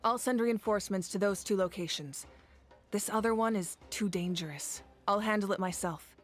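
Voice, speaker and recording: Actress as serious war leader lady, mid pitch, measured, commanding, game music underneath. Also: natural voice. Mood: resolute. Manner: measured, authoritative. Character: grave, commanding female leader of warriors. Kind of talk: English video game voice acting.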